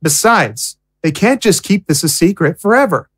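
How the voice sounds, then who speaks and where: A woman's voice: lowish voice, very animated, normal speed, breathy edge, dry recording, clean studio sound. A woman playing an impatient, outspoken young prisoner in an audio drama, with a casual, indignant way of talking. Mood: frustrated.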